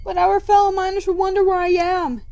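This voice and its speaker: A woman's voice: very high voice, little pitch movement, a little fast, creaky, mellow, dull audio, faint music behind, pitched above the performer's own voice. A woman voicing a frightened, trusting male robot captive in a dramatic story reading, pleading and stammering. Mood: desperate pleading. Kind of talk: amateur dramatic fan fiction reading.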